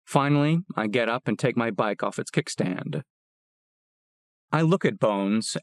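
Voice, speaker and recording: Steady male audiobook narrator, dry sound. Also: mid-range voice, dry recording. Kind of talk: first-person audiobook narration.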